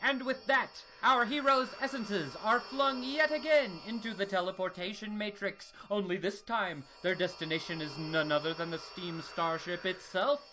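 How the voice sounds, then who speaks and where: A man playing a melodramatic old-time radio serial narrator, pompous, deliberate and full of suspense. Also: sits high, fairly even intonation, sharp tone, a little hiss or hum, rough recording. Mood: mock suspense.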